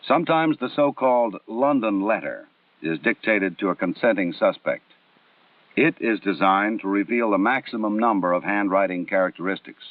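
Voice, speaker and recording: A low-voiced American man reads formal instructional narration, measured and precisely enunciated. The sound is narrow and muffled like a 1960s film soundtrack. He sits a little back from the mic in a dry room with no echo. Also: recorded from a distance. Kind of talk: formal scripted documentary narration.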